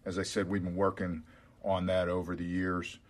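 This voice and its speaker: A man with a very deep voice and an American accent answers reporters' questions on a media call. He is a football head coach, and he phrases his answers in a measured, hesitant way. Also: monotone.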